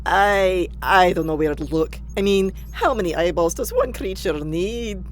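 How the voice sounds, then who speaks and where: A woman's voice: lowish voice, lively intonation, measured pace. A woman playing a wry, irritable spaceship crew member in a comic audio drama, dry and sarcastic. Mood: appalled.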